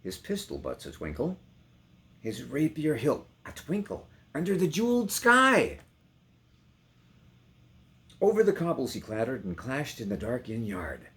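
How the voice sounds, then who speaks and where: A man's voice: mid-range voice, huge pitch swings, unhurried, pauses often, a bit bright, pitched above the performer's own voice, heavily acted. A man performing as a brooding storyteller in a dramatic poetry recitation, theatrical and varying strongly in force. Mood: dramatic, intense. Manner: theatrical, swelling and dropping to whispers. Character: brooding, dramatic storyteller of a romantic ghostly ballad.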